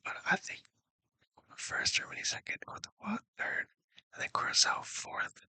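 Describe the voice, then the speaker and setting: A man's voice: normal speed, bright, forceful tone, dry recording. Whispering man talking football predictions. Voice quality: whispered, breathy. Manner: hesitant, rambling, self-correcting. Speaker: sports video creator.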